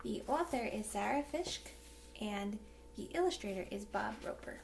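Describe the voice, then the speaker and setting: Woman reading a storybook with lively, swooping pitch. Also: gravelly, noisy background. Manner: expressive, with drawn-out emphasis for young listeners. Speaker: children's book reader. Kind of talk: animated storybook read-aloud.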